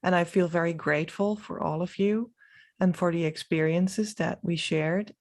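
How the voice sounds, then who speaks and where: A woman from the Netherlands, a native Dutch speaker with a Dutch accent, giving a reflective, unscripted vlog monologue with halting, trailing phrases. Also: lowish voice, steady tone, darkish tone. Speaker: spiritual vlogger.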